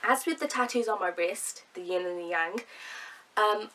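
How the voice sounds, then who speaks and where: A woman's voice: medium pitch, moderate pace, far from the mic, noisy background. A young woman with a cold and a nasal voice, talking earnestly to camera in a chatty vlog. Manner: chatty, earnest, with fillers and laughs. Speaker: vlogger. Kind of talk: casual talk to camera.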